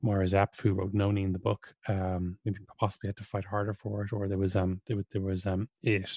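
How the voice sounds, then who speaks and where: A man's voice: deep voice, level tone, a little fast, darkish tone, no room sound. A man who speaks Irish, talking things through in a casual conversation, hesitant and full of restarts. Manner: thoughtful, hesitant, many restarts and fillers.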